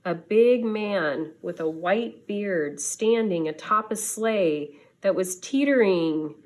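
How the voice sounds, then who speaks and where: Female storybook reader, teacherly: unhurried, expressive delivery at a medium pitch, for young listeners. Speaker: schoolteacher reading to students. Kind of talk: children's storybook read-aloud.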